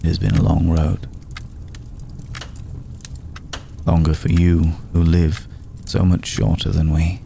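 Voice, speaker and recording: A man playing a courteous, brooding old vampire lord in an intimate ASMR roleplay, speaking in a measured, solemn, deliberate way with long pauses. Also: level tone, mellow, a little hiss or hum. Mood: fond, earnest. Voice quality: deep, gravelly. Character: courteous, brooding centuries-old male vampire lord, tender toward a mortal.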